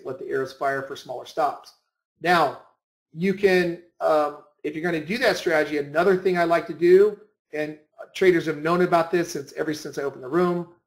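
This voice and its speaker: A man giving an informal screen-share lesson as an instructor, often repeating and rephrasing his points. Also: on the high side. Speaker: trading room instructor. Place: indoor room, voice over screen share. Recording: roomy, echoing voice recording.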